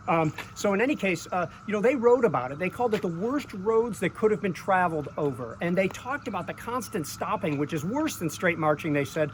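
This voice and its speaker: A man's voice: high-pitched, fairly bright tone. Chatty man telling stories outdoors. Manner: chatty, storytelling, rambling asides. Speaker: tour co-host. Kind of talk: outdoor battlefield tour talk. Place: outdoors by a road, beside an old inn. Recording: outdoor road noise.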